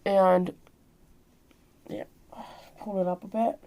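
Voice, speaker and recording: A woman's voice: medium pitch, little pitch movement, slow pace, mellow. A woman in her twenties talking informally and a bit ramblingly through a show-and-tell vlog, explaining things hands-on. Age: 20s. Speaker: vlogger showing purchases.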